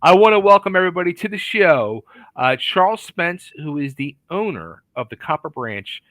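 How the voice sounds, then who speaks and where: A man hosting a relaxed interview show, chatty and animated, often restarting his sentences. His voice is bright and swings widely in pitch. It sounds dry and close, with no room sound. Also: normal speed, dry recording. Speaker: podcast host. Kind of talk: casual interview chat.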